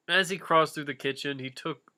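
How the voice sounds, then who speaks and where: A man's voice: fairly high pitch, pushed and bright. A man giving a comedic reading of fan fiction, breaking off into casual, jokey asides.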